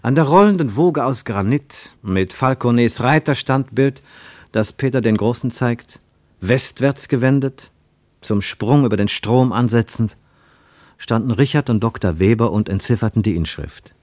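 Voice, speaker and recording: A man narrating a radio play in a literary, measured storytelling manner. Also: mid-range voice, a little mellow, no echo.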